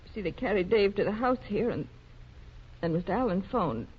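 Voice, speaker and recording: A woman playing an anxious, distraught wife with a halting, despairing delivery in a 1930s radio drama. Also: medium pitch, lively intonation, breathy edge. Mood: weary, bitter. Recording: noisy, muffled 1930s radio recording.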